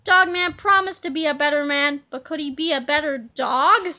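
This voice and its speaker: A woman reading a children's storybook aloud in an animated, dramatic way. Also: high-pitched, normal speed, dull audio. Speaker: storybook reader. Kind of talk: expressive children's book read-aloud.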